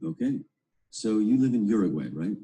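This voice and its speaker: A man voices the audio for an English textbook. His voice is low and gravelly, and his pitch rises and falls widely. He announces each exercise clearly. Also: big pitch swings, normal speed, warm tone, a little hiss or hum, rough recording.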